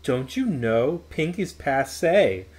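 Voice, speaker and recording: Mean schoolgirl for a picture book, read by a man: snooty, scornful, emphatic, swooping pitch. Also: big pitch swings, takes it slowly, a little mellow. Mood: taunting. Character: snooty, taunting schoolgirls who scorn pink.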